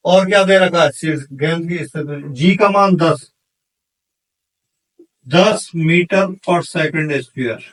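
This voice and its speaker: High-pitched man teaching, slow and distant. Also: fairly bright tone. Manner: instructional, asks questions and answers them himself. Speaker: physics teacher for exam candidates. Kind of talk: teaching worked numerical problems step by step.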